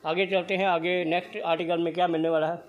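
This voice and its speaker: Upbeat, high-voiced shop vlogger man. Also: level tone, fast, slight background noise. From Punjab, India. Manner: upbeat, repetitive hard-sell patter. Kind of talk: excited sales walkthrough. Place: indoor blanket shop.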